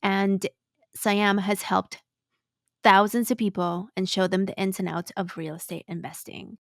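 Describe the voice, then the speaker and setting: A woman hosts an interview podcast in a mid-pitched voice. She slips some Spanish phrases into her English. The sound is very dry, with no room to it. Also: little pitch movement, a little slow, no room sound. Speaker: podcast host.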